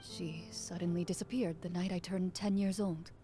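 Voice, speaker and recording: A woman playing a composed, earnest young duelist in scripted video game dialogue, speaking in a measured, formal, understated way. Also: on the low side, steady tone, mellow, close to an everyday voice. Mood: somber. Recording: music under the voice.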